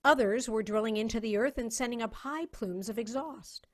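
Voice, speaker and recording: A woman's voice: fairly high pitch, normal speed. A woman narrating a science fiction story as a scripted dramatic reading.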